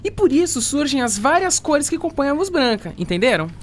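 Animated, high-voiced Brazilian schoolteacher played by a woman. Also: brisk, slight background noise. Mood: patient, explanatory. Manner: didactic, explanatory. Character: patient, encouraging male school science teacher. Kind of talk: scripted educational radio drama.

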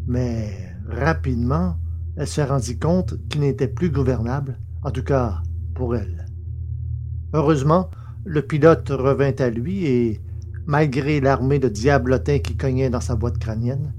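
A man's voice: mid-range voice, darkish tone, slight background noise. A man reading scripted literary narration for a science fiction story, as its narrator.

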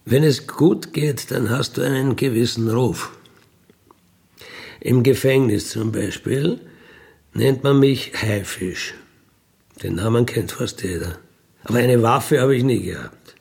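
A middle-aged or older man with a gravelly voice, telling personal stories casually and emphatically in colloquial speech, often checking for agreement. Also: medium pitch, takes it slowly, long pauses, darkish tone. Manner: colloquial, emphatic, seeks agreement with tag questions. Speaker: interviewee, career crook telling his life story.

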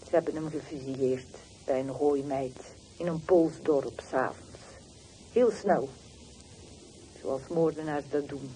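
An actress playing a bitter, wounded middle-aged woman on the telephone in a radio play, terse and reproachful with deliberate pauses. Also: sits low, mellow. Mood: grieving, intense. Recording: noisy old radio drama recording.

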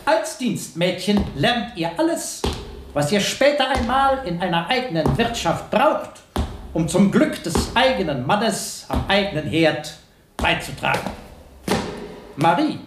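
A man's voice: sits high, big pitch swings, unhurried, noisy background. An actor playing a pompous, moralizing village schoolteacher lecturing girls oratorically in a German radio drama. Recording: echoey room.

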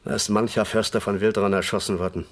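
A man's voice: on the low side, flat delivery, fast talker, close to an everyday voice. An actor playing a good-natured, talkative man reminiscing in conversation in a radio crime drama. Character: chatty, good-natured male host reminiscing about an old regular.

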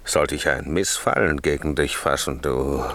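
A man's voice: extremely low pitch, fairly even intonation, a little slow, a bit bright, dry recording, exaggerated voice. An actor playing a pompous, blustering drunken would-be king in a comic radio play, threatening, with diction. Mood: indignant, pompous. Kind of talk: comic classical German radio drama.

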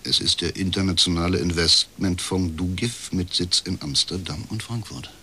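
Husky, low-voiced disillusioned male official. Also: moderate pace, pushed and bright, exaggerated voice. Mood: urgent, confiding. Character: disillusioned, chain-smoking male privatisation official, uneasy whistleblower. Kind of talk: German radio crime drama acting.

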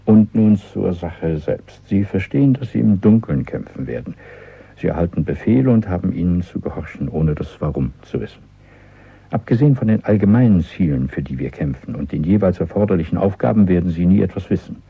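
A stern, controlled official leading a secret conspiracy in a radio drama. His voice is very low and rough with creak, and he speaks in measured, formal commands. The sound is thin and band-limited, like a telephone. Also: lively intonation, no echo, exaggerated voice. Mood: grave, instructing. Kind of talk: German radio play acting. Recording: muffled, narrow-band dry radio recording.

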